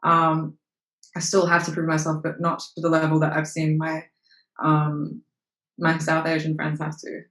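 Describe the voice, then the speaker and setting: Young aussie actress talking straight, swears a bit, lots of ums, echoey room. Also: female voice, sits low, monotone, normal speed, fairly bright tone. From Australia. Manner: frank, swears casually, trails off with fillers. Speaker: actress and model, interview guest. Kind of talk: candid interview conversation. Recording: roomy echo.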